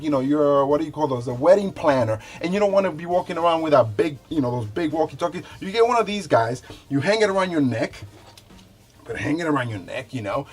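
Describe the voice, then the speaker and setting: A man's voice: fairly high pitch, breathy edge. A man hosting a casual product review, talking conversationally to viewers and repeating himself loosely as he demonstrates items. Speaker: product reviewer, show host.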